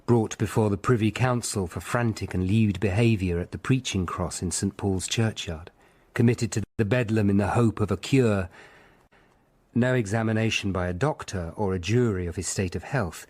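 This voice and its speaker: Deep male voice, husky, grave Tudor lawyer played by a man, measured first-person radio drama narration. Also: a little mellow, natural voice. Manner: measured, literate storytelling.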